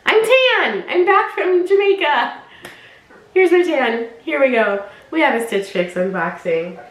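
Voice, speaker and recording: A woman's voice: sits high, lively intonation, unhurried, reverberant. A young woman talking casually in her vlog, bubbly and rambling and laughing often, her nose stuffed up from allergies. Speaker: fashion unboxing vlogger.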